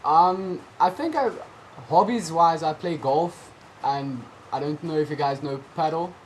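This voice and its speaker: A man's voice: on the high side, a little slow, a little hiss or hum, low-quality recording. Teenage South African guy, Afrikaans native. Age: teens. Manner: hesitant, lots of ums, friendly. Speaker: guest, junior tennis player. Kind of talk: casual interview answers. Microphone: video call.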